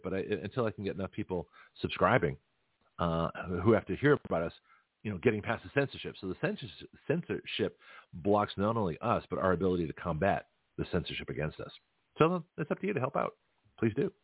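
A man's voice: deep voice, sing-song, fast, husky, no echo. A middle-aged man hosting a talk radio show, rambling in a casual monologue with frequent restarts and chuckles. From Canada. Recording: tinny phone-line audio.

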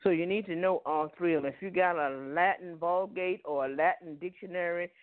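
Southern preacher on a tinny phone line. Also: male voice, high-pitched, fairly quick, no room sound. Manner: peppers speech with "Amen" and "Hallelujah". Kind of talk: Bible teaching sermon.

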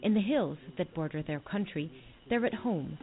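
A woman's voice: lowish voice, expressive. Female news correspondent, tinny line. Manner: measured, formal reporting. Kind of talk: scripted news report. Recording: tinny phone-like playback.

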